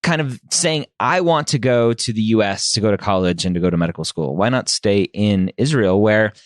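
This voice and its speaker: A man's voice: on the low side, expressive, brisk, dry recording, clean studio sound. A man hosting a podcast, introducing a guest and asking questions in an upbeat, conversational way. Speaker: podcast host. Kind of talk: podcast interview.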